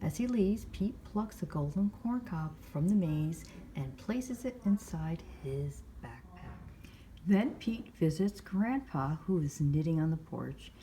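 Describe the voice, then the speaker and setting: A woman hosting storytime, reading a picture book aloud to young children with measured, expressive phrasing. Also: low voice, slight background noise, low-quality recording. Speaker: library storytime host.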